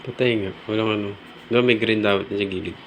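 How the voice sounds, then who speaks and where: A Filipino man with a fairly low voice talks casually, as one technician to another. He is working through a fault, and there is distinct noise in the recording. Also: steady tone, fast talker. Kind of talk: casual work chat. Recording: noisy on-site recording.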